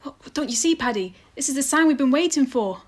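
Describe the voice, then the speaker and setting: A woman's voice: high voice, animated. Woman playing eccentric detective aunt. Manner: brisk, eccentric, upbeat. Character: eccentric female private investigator, cheerful aunt. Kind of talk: amateur audio drama.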